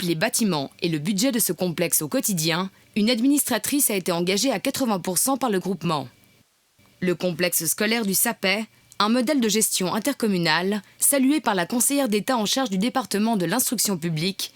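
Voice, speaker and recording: A woman's voice: mid-range voice, expressive, a little fast, dry recording. A woman reading scripted narration for a local news report.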